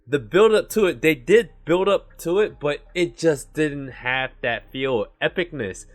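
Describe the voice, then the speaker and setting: A man giving casual anime commentary, chatty and full of "like" and "you know", who calls his own voice monotone. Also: on the high side, measured pace.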